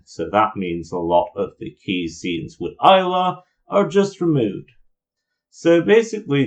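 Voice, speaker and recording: A man giving an opinionated, explanatory video review in an informal commentary style. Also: lowish voice.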